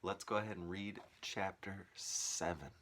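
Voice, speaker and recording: A man's voice: low-pitched, steady tone, measured pace, fairly bright tone. A man reading a storybook aloud like a teacher, expressively and with dramatic, varied emphasis.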